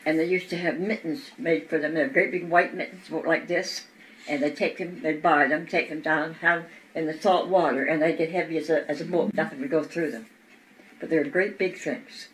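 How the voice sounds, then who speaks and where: A man's voice: on the high side, steady tone, fairly quick, a bit bright, low-quality recording. An older man, reminiscing in a folksy way and repeating himself for emphasis. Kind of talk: casual reminiscing chat.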